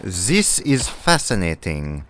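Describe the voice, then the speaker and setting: A man's voice: deep voice, big pitch swings, slow pace, fairly bright tone, slight background noise, heavily acted. A man playing a formal, French-hating German sergeant with a put-on German accent during a game. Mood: haughty. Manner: stiff formal speech, no contractions. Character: stern male German sergeant, gravely formal, hates the French. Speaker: game player. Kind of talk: in-character roleplay while gaming.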